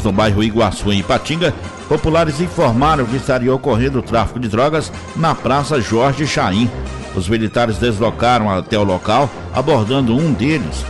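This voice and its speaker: A Brazilian man narrates scripted police news as an announcer. His pitch sits in the middle range, and music plays clearly behind him. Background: music under the voice.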